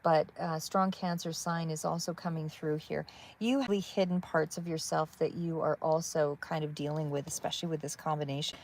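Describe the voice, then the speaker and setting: A woman giving a live tarot reading in a calm, soothing voice that wanders between thoughts with many fillers. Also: low voice, moderate pace, a little hiss or hum. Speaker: tarot reader.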